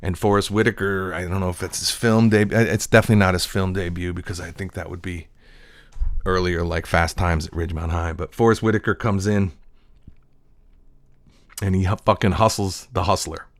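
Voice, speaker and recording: Man, voice actor, deep low voice, dry recording, casual wandering monologue. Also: fairly even intonation, fairly quick, dry recording. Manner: digressive, reflective storytelling. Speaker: voice-over actor. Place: at a desk.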